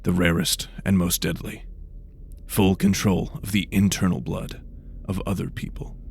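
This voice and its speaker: A man's voice: low voice, level tone, measured pace, creaky, a little hiss or hum. A man playing a brusque investigator of magical murders in a fantasy audio drama, blunt and demanding. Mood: grim, explanatory.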